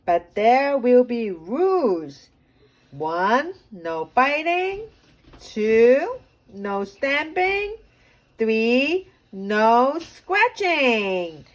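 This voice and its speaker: Woman voicing slow, emphatic platypus doctor. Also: a little high, big pitch swings, voice pushed down, full character voice. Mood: firm, patient. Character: small, brave, calm male platypus doctor, firm. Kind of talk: children's storybook read-aloud.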